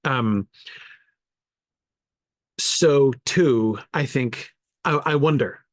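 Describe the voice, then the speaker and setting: A man's voice: medium pitch, drawn-out words, no echo. A man with a mid-range voice talks through ideas like a teacher in an informal online study group. He hesitates, corrects himself and leans hard on key words, checking in as he goes. The sound is dry, with very little room in it. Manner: hesitant, self-correcting, thinking aloud. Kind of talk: informal lecture with discussion of viewer comments.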